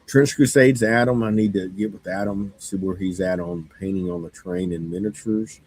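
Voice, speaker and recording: Hoarse deep-voiced man rambling casually. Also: fairly even intonation, a little mellow, low-quality recording. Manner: halting, many fillers and restarts. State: hoarse, losing his voice. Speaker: game store owner. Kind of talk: casual monologue.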